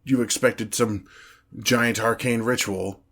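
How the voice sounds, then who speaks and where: A man's voice: medium pitch, steady tone, fairly bright tone. A man voicing a haughty, exasperated demon lord in a fantasy audio roleplay, speaking formally and pompously. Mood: reluctant, irritated. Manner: pompous, formal, slow deliberate phrasing with irritated sighs. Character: haughty, exasperated male demon lord, grandiose but petty. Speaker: character performer in roleplay audio. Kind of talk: scripted ASMR fantasy roleplay, one-sided dialogue.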